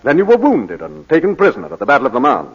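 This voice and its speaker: High-pitched, animated British barrister. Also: male voice, vocal fry, husky, full character voice. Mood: measured, probing. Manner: formal, measured, oratorical. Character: formal, courteous English barrister questioning his client. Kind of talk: 1940s radio drama acting. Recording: muffled vintage 1940s radio broadcast.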